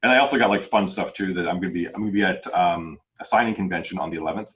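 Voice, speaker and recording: A man's voice: low voice, racing, a bit bright. A man chatting casually and informally on a podcast as an independent author and host, using slangy, chatty wording. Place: video call group podcast.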